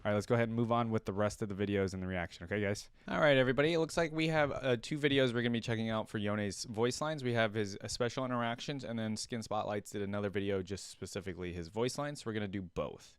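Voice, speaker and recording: A man's voice: on the low side, fast talker, hardly any pauses, clear, resonant tone, dry recording. A man hosting a reaction video, commenting casually in a laid-back, appreciative way.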